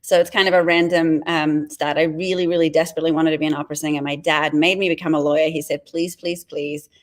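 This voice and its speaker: A woman's voice: low-pitched, little pitch movement, fast. A woman from New Zealand with a New Zealand accent, a guest author chatting casually on a video call with many ums and restarts.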